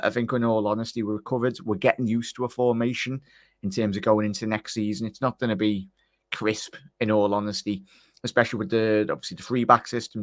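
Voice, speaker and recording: A young man with a croaky voice, rambling casually through a live stream reaction and greeting viewers by name. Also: on the low side, a bit bright, dry recording, clean studio sound. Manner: chatty, rambling, filler-heavy. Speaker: fan channel live stream host.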